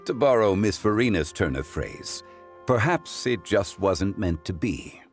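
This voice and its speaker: An actor playing a composed, refined gentleman in a game dub, speaking formally and courteously in lofty phrases. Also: lowish voice, very animated, measured pace, faint music behind. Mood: musing. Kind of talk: English game dub voice acting.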